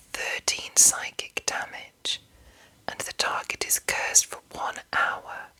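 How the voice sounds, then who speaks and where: Woman whispering very slowly, ASMR style. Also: bright, forceful tone, low-quality recording. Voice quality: breathy, unvoiced whisper. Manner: slow, deliberate, short phrases with long pauses. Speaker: host of an ASMR channel. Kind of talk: whispered ASMR reading and commentary.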